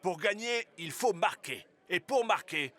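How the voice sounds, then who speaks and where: A man's voice: sits high, animated, measured pace, a bit bright. A man playing a stern, demanding football coach in a cartoon, instructive and emphatic. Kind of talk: dubbed cartoon dialogue.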